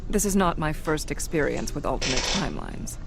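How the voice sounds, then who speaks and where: A woman's voice: low-pitched, big pitch swings, a little fast, vocal fry, a little hiss or hum, full character voice. An actress playing a coolly logical former cyborg woman in a space game, precise, clipped and emotionless. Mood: cool, factual. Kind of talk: scripted game dialogue.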